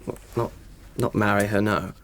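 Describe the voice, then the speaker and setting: A man's voice: fairly low pitch, little pitch movement, moderate pace, a bit bright. An actor playing a young British man bantering defensively and casually with his family in a radio drama. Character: defensive, teasing young man, grown son at family dinner. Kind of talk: naturalistic radio drama dialogue.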